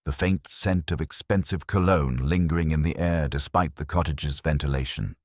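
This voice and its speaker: A man reading a scripted mystery story as narrator, in a measured, even-paced way. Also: a bass voice, no room sound, clean studio sound.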